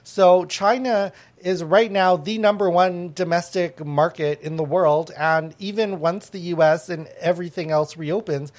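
Casual podcast host leading a panel chat, rambling with many "uh"s and restarts, mid-pitched voice. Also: steady tone, measured pace, few pauses, darkish tone. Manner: chatty, many fillers and restarts.

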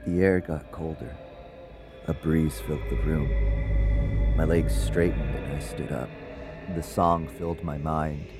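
A man's voice: very deep voice, steady tone, unhurried, pauses often. A man playing an uneasy narrator in an audio drama, recounting a haunting in a conversational first-person way. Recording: music bed under the voice.

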